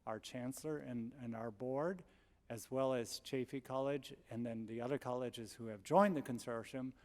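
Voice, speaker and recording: A man giving formal, earnest testimony to a public board, speaking in measured, emphatic terms. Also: mid-range voice, darkish tone, in a large hall. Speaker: college district presenter. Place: large public meeting hall.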